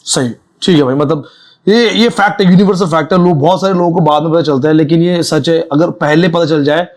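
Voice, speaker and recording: A man's voice: on the high side, brisk. A man hosting a podcast, asking questions in encouraging, casual Hindi mixed with English. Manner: mixes English into Hindi, encouraging, many you-knows. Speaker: podcast host. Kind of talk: casual interview chat.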